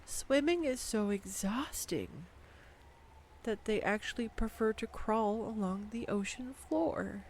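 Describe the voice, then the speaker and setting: Young woman, playful scripted roleplay, animated intonation, slow pace. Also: fairly high pitch, slight background noise. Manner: playful, chatty, giggly. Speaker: voice actor playing a girlfriend. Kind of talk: scripted audio roleplay monologue. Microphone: studio condenser mic.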